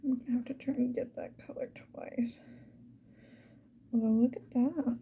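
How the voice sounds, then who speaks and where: A woman whispers a casual ASMR chat in a very soft, dark and mellow voice, a little high in pitch. She muses to the viewer without hurry. The recording is muffled and lo-fi, with light background noise. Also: fairly even intonation, normal speed, vocal fry. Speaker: ASMR video creator.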